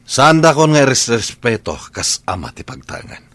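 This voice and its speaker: A man's voice: medium pitch, very animated, moderate pace, fairly bright tone, no room sound. Man acting as volatile, domineering Ilocano father. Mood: bitter. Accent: Filipino, Ilocano. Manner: gruff, curt, blustering. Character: hot-tempered, domineering, resentful father and husband. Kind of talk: Ilocano radio drama acting.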